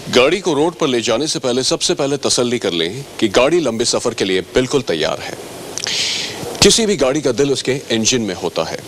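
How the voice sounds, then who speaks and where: A man delivers a scripted training presentation as a service advisor. His pitch moves in a lively way as he courteously instructs the viewer, with faint vehicle and ambient noise behind him.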